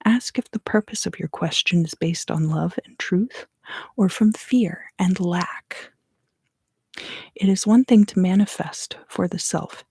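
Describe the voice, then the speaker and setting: A woman giving an ASMR card reading for a live stream in a soft, unhurried, often fully whispered voice, close to the microphone. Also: lowish voice, vocal fry, breathy edge, dry recording. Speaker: ASMR tarot reader and live stream host.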